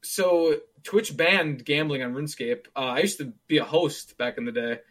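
A man's voice: on the high side, normal speed, a bit bright. A man in his twenties chatting casually about gaming, rambling with lots of fillers and restarts. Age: 20s. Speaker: streamer.

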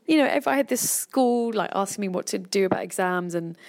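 A woman's voice: medium pitch, lively intonation, fairly quick, a little mellow. An English woman in her thirties, talking candidly in a podcast conversation and swearing freely. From England.

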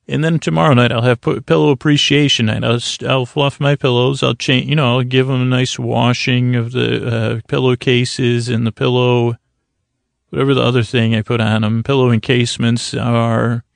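Guy doing a sleepy-time podcast monologue, creaky voice, dry close sound, stumbles and wanders around, kinda whimsical. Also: mid-range voice, little pitch movement, dry recording. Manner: meandering, halting, stammering, trailing off mid-phrase; whimsical, mock-earnest. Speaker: podcast host. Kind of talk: rambling, improvised bedtime monologue to help listeners sleep.

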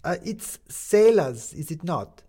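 A man playing a polite, soft-spoken woman. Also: fairly low pitch, sing-song, takes it slowly, slightly rough, voice pushed up, full character voice.

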